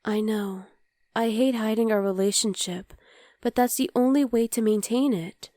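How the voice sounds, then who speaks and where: A woman playing an affectionate, playful young demon girlfriend in an intimate ASMR roleplay, speaking softly to the listener. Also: a little high, normal speed, dry recording, clean studio sound, lightly acted. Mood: worried, regretful.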